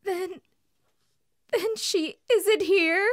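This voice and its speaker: High-pitched anxious little boy, voiced by a woman. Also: very slow, dry recording, studio quality, full character voice. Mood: hesitant, downcast. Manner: plaintive, hesitant. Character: young boy searching for his mother, earnest, anxious. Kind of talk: animated children's story acting. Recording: music behind the voice.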